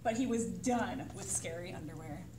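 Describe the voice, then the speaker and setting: A woman reading a picture book aloud to children as a librarian, with dramatic, suspenseful delivery. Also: on the low side. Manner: expressive, suspenseful pauses and sudden exclamations. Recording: roomy echo, distant mic, faint noise.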